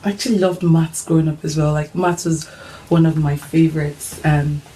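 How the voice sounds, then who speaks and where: A woman's voice: sits low, flat delivery, moderate pace, dark tone, a little hiss or hum, rough recording. A middle-aged woman from Nigeria hosting a casual chat show for mums, upbeat and playfully teasing, with frequent ums. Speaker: host of an online mums' group show. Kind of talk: casual chat, interviewing her son.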